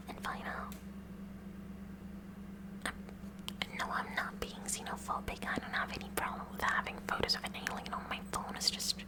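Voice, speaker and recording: A woman's voice: natural voice, rough recording. Whispering kooky science club girl, acted by a woman. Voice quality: breathy, whispered. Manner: slow, deliberate, hesitant rambling with filler phrases. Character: kooky, eager female high school science club president. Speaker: ASMR performer. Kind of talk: whispered ASMR roleplay.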